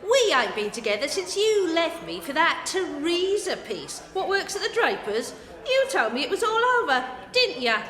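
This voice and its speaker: A very high, piercing British woman's voice, brash and taunting, swinging widely in pitch as she quarrels in a comic radio play. The sound rings in a long, hollow reverb. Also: big pitch swings, moderate pace, a bit bright, heavily acted. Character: brazen, quarrelsome woman in a melodramatic lovers' row.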